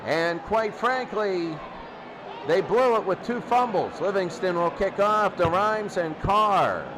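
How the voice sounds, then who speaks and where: A man's voice: high voice, lively intonation, slow pace, a crowd in the background, background noise. A man doing live football play-by-play in short, repetitive sentences, as the announcer. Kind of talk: live play-by-play sports commentary.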